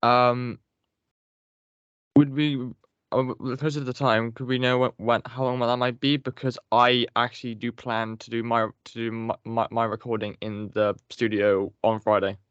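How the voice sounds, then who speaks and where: A man's voice: medium pitch, little pitch movement, moderate pace, clear, resonant tone, no echo. A young man in a planning call, stammering and repeating words. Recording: dry, muffled call audio.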